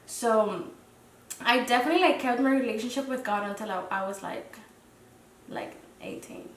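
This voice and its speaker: Soft-spoken young woman, echoey room. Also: fairly high pitch, normal speed. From Dominican Republic. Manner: hesitant, many fillers, soft-spoken reflective. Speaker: guest. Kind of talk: casual interview chat. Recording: roomy echo, mic at a distance, faint noise.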